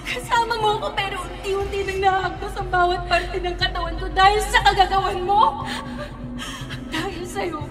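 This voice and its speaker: A woman's voice: very high voice, fairly even intonation, moderate pace. An actress playing a dead daughter brought back as a spirit, accusing and wailing at her mother in a radio drama. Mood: raging. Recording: echoey hall, voice from far off, music under.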